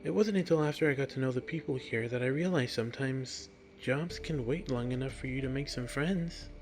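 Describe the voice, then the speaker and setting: A man's voice: mid-range voice, brisk, over music, voice pushed up, natural voice. A man voicing a friendly, curious young bookish woman in a story reading, given to puzzled questions. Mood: warm, coaxing. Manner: thinks aloud in puzzled questions.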